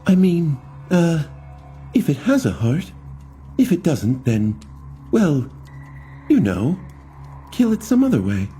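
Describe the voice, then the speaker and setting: A man's voice: on the high side, huge pitch swings, takes it slowly, long pauses, warm tone, soft background music, pitched above the performer's own voice, full character voice. A man voicing an earnest blind young woman who stumbles over her words when flustered. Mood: awkward.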